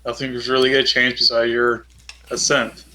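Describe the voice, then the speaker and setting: Male role-player, flat intonation, very forceful bright voice, measured advice-giving. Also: medium pitch. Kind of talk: improvised in-character role-play.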